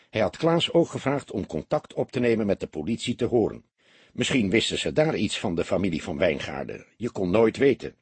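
A male audiobook narrator reads a story expressively. His voice is very husky and moves with animated pitch, recorded dry and close. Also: no room sound.

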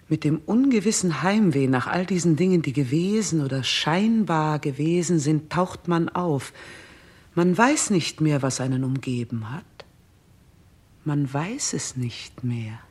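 A woman playing a stern, composed queen in a 1960s German radio play, speaking in a measured, solemn, commanding way. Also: low-pitched, a little mellow, slightly rough. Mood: solemn, hypnotic. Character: stern, composed queen guiding a king toward death.

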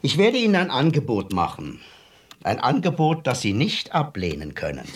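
An actor playing a suave Swiss visitor from Basel with theatrical, quietly menacing speech. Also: male voice, medium pitch, wildly swooping pitch, moderate pace. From Switzerland. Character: suave male Swiss visitor making an offer. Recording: distant mic with music behind.

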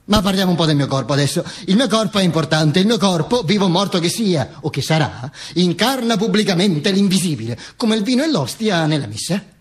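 High-voiced, pompous dead dictator. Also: male voice, big pitch swings, breathy edge, full character voice. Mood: wry, reminiscing. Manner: pompous, halting, with stammered repetitions and asides. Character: vain dead dictator narrating from beyond the grave. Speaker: stage actor performing a monologue. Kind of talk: theatrical autobiographical monologue.

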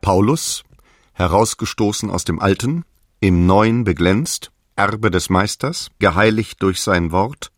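An actor playing Paul the apostle, a stern zealot preaching in solemn, archaic radio drama language. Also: male voice, low-pitched, a bit bright, no room sound, studio quality, heavily acted. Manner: grave, preaching, declamatory.

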